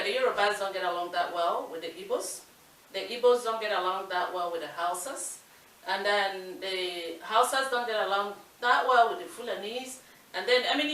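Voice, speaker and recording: A woman's voice: mid-range voice, a bit bright. A woman from Nigeria with a Nigerian accent, co-hosting a talk show and making her points in an earnest, emphatic way. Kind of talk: casual discussion. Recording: echoey room with light hiss, mic distant.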